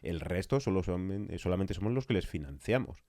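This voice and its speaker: Man, Spain accent, very low voice, dark tone, emphatic, opinionated podcast chat. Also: animated, fairly quick, dry recording, clean studio sound. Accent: Spanish (Spain). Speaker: podcast interviewer. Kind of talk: casual opinionated podcast conversation.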